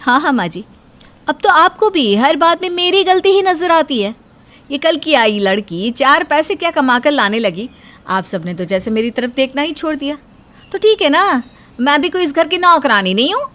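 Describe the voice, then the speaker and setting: A woman's voice: sits high, lively intonation, moderate pace, a bit bright. A woman playing a jealous, spiteful housewife in a cartoon moral story, sarcastic, bossy and full of complaints. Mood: indignant. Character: spiteful, jealous elder sister-in-law, grumbling housewife.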